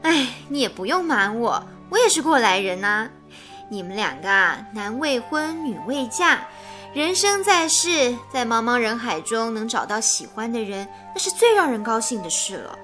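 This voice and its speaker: A kindly, knowing woman confidante in a radio drama. She speaks in a coaxing way with a fairly high, very varied pitch over faint music. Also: sing-song, moderate pace, sharp tone. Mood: gently teasing. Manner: coaxing, reassuring.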